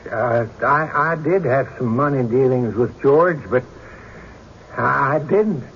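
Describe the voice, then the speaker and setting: A man's voice: medium pitch, slow. An actor playing a nervous, cornered male witness in a radio drama, stammering and defensive. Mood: flustered denial. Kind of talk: old-time radio drama acting. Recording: dull, noisy radio drama recording.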